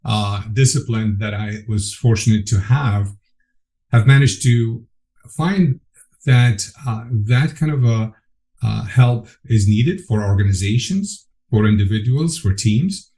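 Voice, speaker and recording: Enthusiastic Egyptian-born man, lowish voice. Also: slow pace, warm tone. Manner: earnest, enthusiastic, frequent restarts. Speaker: guest, program director. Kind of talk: conversational interview.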